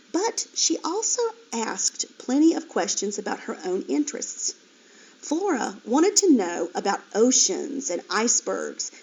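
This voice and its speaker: Lively, expressive woman reading a storybook. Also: mid-range voice, muffled recording. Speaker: teacher reading aloud. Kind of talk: storybook read-aloud.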